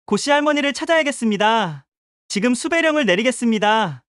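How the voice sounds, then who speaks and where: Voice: medium pitch, animated, a little fast, a bit bright, smooth, no echo, clean studio sound, close to an everyday voice. An actor playing a polite, businesslike detective in an audio drama. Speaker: police detective.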